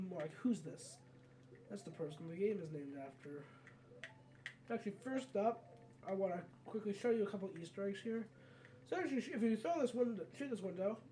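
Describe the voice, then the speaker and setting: A video game let's player giving casual, rambling commentary and often correcting themselves mid-sentence. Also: medium pitch. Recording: mic at a distance, background noise.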